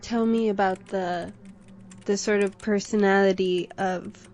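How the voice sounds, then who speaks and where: A woman's voice: mid-range voice, fairly even intonation, unhurried, darkish tone, slight background noise. A woman hosting a podcast who puts short, friendly questions to a guest. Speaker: podcast host interviewer. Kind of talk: casual interview.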